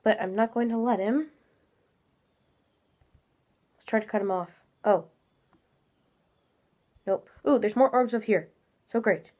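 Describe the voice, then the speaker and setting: A woman's voice: fairly high pitch, takes it slowly, long pauses, darkish tone. Teen girl host, stuffy-nosed and chatty, rambling gameplay commentary from a home webcam. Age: teens. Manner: chatty, rambling, many fillers. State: congested, a little nasal. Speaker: gaming channel host. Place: small room at home, indoors.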